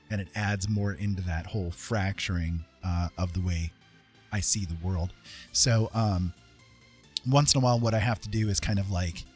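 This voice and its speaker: The low-voiced man you would find on a late-night art stream, sketching and musing haltingly to whoever drops in, music on faintly. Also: slightly rough. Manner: hesitant, thinking aloud. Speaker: livestream host and artist. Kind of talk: casual rambling chat while drawing.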